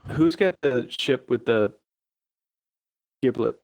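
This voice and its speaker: A man's voice: mid-range voice, vocal fry, a little mellow, no room sound. A man from Denmark co-hosting a gaming stream, chatting playfully and teasing his friends. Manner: playful, teasing, slow when describing things. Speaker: stream co-host. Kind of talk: casual gaming chat.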